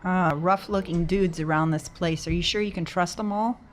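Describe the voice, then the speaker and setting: A woman's voice: fairly low pitch, fairly even intonation, normal speed, slight background noise. A woman playing a tabletop game, speaking casually in character.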